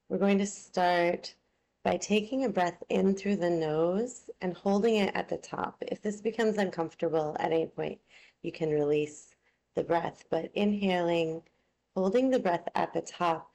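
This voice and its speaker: A woman teaching yoga nidra, leading a guided relaxation in a soothing, unhurried, gently instructing manner. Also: on the low side, gravelly.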